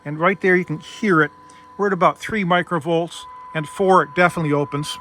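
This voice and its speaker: A man's voice: on the high side, fairly even intonation, fairly quick, bright, forceful tone, slight background noise. A man hosting a casual, hands-on technical demonstration, explaining things methodically step by step. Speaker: host demonstrating vintage radio gear.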